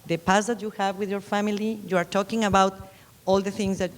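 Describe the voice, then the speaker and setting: A woman's voice: medium pitch, little pitch movement, brisk. Hesitant Mexican woman in a reverberant hall. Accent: Mexican Spanish. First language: Spanish. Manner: searching, hesitant phrasing. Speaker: panelist author. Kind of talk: panel discussion. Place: lecture hall.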